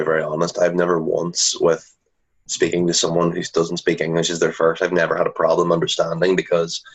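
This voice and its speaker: A man's voice: low voice, breathy edge. A man answering interview questions earnestly, often restarting his sentences. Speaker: interview guest. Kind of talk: conversational interview. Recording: dull lo-fi audio.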